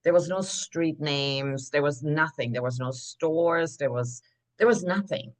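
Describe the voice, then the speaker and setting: A woman, a podcast guest telling her story in an animated, emphatic way, full of "like" fillers. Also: deep voice, measured pace. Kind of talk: conversational interview storytelling.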